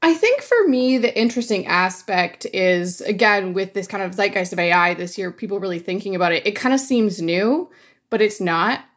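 A woman's voice: mid-range voice, animated, moderate pace. A woman speaking as a guest on a panel discussion, in a casual, chatty way with light laughs.

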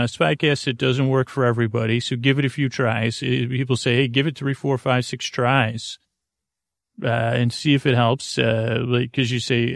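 Man, gravelly creaky voice, dry close sound, faint music behind, halting bedtime podcast host, meandering and repetitive. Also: medium pitch, dry recording. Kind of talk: rambling bedtime podcast monologue.

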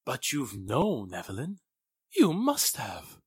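A man's voice: mid-range voice, wildly swooping pitch, slow pace, slightly rough, no echo, studio quality, exaggerated voice. A man playing an earnest, lovestruck suitor in a 1940s-style radio drama, theatrical and emphatic. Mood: defiant, ardent.

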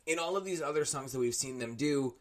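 A man's voice: mid-range voice, brisk. A man in his thirties chatting casually as a podcast co-host, rambling along with bursts of emphasis. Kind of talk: casual chat reviewing a TV show.

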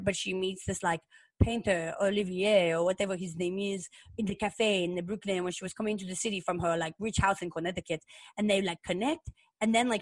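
An American woman from New Jersey chatting casually and explicitly as a podcast co-host, gushing, excitable and prone to rambling. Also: fairly low pitch, dry recording. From New Jersey, United States. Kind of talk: casual, explicit pop-culture chat.

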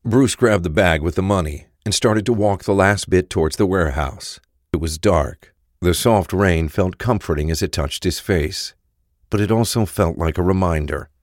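Low-voiced man narrating a story. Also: no room sound, clean studio sound. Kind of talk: scripted audio drama narration. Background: music.

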